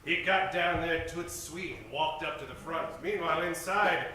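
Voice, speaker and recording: A man's voice: on the high side, moderate pace, sharp tone, slight background noise. A man reading scripted narration for a noir radio comedy. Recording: echoey, distant live stage recording. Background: faint music.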